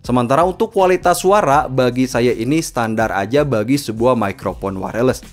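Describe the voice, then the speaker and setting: A man's voice: medium pitch, moderate pace. A man with an Indonesian accent narrating a scripted gadget review.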